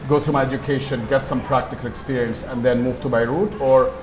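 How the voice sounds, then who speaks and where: A man's voice: medium pitch, little pitch movement, fast, a crowd in the background. A man hosting an onstage interview before an audience, courteous, asking long rambling questions with fillers. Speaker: host, interviewer. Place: event hall with audience. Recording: roomy hall with noise.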